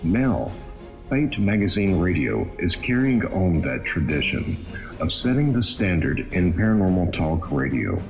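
A man's voice: low voice, very animated, unhurried, vocal fry, darkish tone, faint music behind. A man reading a scripted, dramatic radio show introduction. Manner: dramatic, announcer-style delivery. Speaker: radio announcer.

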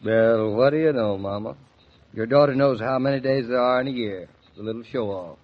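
A man's voice: mid-range voice, a little fast, lightly acted. Guy playing a teasing young husband, muffled old radio play. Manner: joking, wry. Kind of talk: 1940s radio acting. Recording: dull old radio recording with faint hiss.

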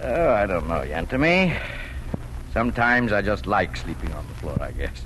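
Gentle, wistful middle-aged farmer dad, folksy and hesitant, expressive pitch, 1940s radio play sound. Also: male voice, normal speed, slight background noise, rough recording. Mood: tender, wistful. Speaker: radio drama actor. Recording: old 1940s radio broadcast with music.

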